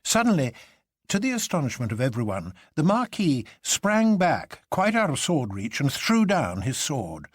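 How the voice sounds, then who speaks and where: Male audiobook narrator: theatrical classic-fiction read, husky timbre, highly varied intonation, dry recording. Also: moderate pace, no room sound. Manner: theatrical, expressive.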